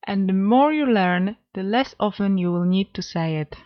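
A woman co-hosting a scripted beginner language lesson podcast, speaking in a clear, measured, instructive way on a slightly dull lo-fi recording. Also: medium pitch. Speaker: language teacher, podcast co-host.

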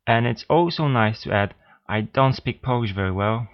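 A man co-hosting a scripted beginner language lesson podcast, steady and explanatory as he prompts listeners, on a slightly dull lo-fi recording. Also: mid-range voice, normal speed. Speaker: language teacher, podcast co-host.

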